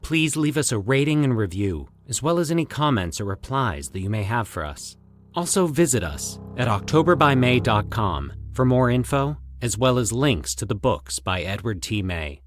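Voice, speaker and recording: A man's voice: mid-range voice, very animated, moderate pace, faint music behind. A man giving dramatic scripted narration as a podcast host and storyteller.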